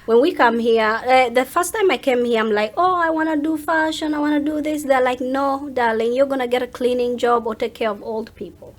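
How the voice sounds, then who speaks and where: Interview guest: woman, high-pitched, Ugandan accent, emphatic and outspoken conversational delivery. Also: a little fast, few pauses. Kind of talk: animated casual interview talk.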